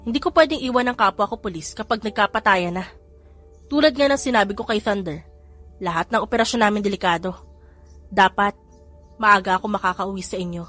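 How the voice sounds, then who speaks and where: A woman reader voicing a male police general who explains calmly and teases affectionately, with little change from her own voice. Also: mid-range voice, brisk, long pauses, soft background music, lightly acted. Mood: explaining.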